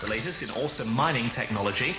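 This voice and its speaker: A man playing an earnest, formal newsreader giving a measured scripted bulletin in a science fiction audio drama. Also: mid-range voice, animated, echoey room, very noisy, close to an everyday voice. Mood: formal, urgent. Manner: measured, formal announcer delivery. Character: brisk, earnest male newsreader on a colony planet.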